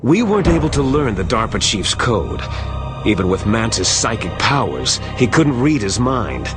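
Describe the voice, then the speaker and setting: An actor playing a smug, scheming villain in a video game, taunting his opponent with measured menace. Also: on the low side, big pitch swings, over music. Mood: smug explaining. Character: smug, scheming male villain, coolly gloating mastermind. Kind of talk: dramatic video game voice acting.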